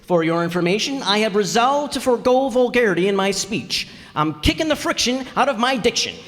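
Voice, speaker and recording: Man acting blustery comic husband, pompous diction, folksy slang, very animated pitch, radio comedy, reverberant hall. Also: fairly quick. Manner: pompous grand words slipping into folksy slang, stammering. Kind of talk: old-time radio comedy acting before an audience. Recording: echoey hall stage recording.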